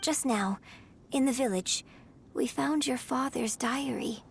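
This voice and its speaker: Courteous young opera artist, slightly high voice. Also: female voice, unhurried, gravelly, a little hiss or hum. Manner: courteous, earnest, reassuring. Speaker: opera writer and performer. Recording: game dialogue with music behind.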